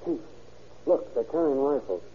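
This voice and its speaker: An actor playing a jittery but loyal police sidekick with an American accent and slangy speech in an old radio crime drama, on a muffled, noisy recording. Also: male voice, mid-range voice, lively intonation, normal speed, close to an everyday voice. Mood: anxious, alert.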